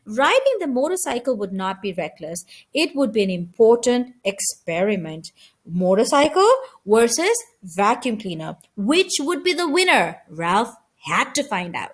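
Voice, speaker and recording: Female storyteller for a children's audiobook: high voice, very lively intonation, dramatic emphasis. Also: a little slow. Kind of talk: expressive children's story reading.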